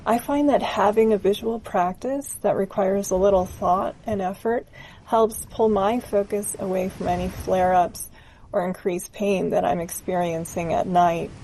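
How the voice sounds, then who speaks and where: A woman narrating a scripted guided meditation in a gentle, soothing, unhurried manner. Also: medium pitch, a little hiss or hum, poor audio.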